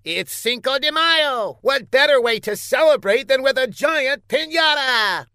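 A man voicing an exasperated, short, round small-town mayor with a clear, high, irritable voice in a comic audiobook. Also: lively intonation, measured pace, pushed and bright, no echo, studio quality, full character voice. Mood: improvising cheerfully.

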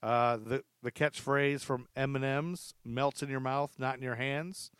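A man hosting a podcast in casual, chatty, loosely phrased talk, quick to laugh. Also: mid-range voice, fairly even intonation, a bit bright, clear, resonant tone, no room sound, studio quality. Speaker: podcast host.

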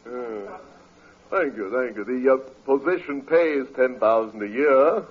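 Husky, pompous old freeloading uncle. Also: male voice, medium pitch, lively intonation, a little slow. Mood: lofty, wounded. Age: older. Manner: pompous, flowery speech. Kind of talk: 1940s radio comedy acting. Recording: dull old radio broadcast recording.

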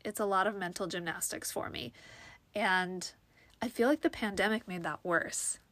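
A woman's voice: medium pitch, moderate pace, fairly bright tone, no room sound. Sniffly young woman podcasting. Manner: rambling, many fillers and restarts. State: sniffly from allergies. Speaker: podcast host. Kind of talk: casual solo podcast monologue.

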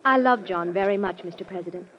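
An actress playing a sweet, gracious young society fiancée in an old-time radio drama. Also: female voice, mid-range voice, quick pace, natural voice. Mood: gracious, eager. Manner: gracious, polite. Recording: muffled vintage radio recording.

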